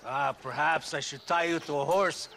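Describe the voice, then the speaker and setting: A man's voice: on the high side, normal speed, pushed and bright, a little hiss or hum. An actor playing a contemptuous, bullying Mexican military strongman in a western video game, sneering with theatrical menace. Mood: mocking menace. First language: Spanish.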